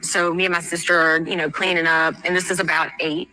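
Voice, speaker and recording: A woman's voice: fairly low pitch, flat delivery, fairly quick, bright, forceful tone, poor audio. A woman giving a casual personal account, telling events step by step in a conversational way. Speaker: interviewee recounting events.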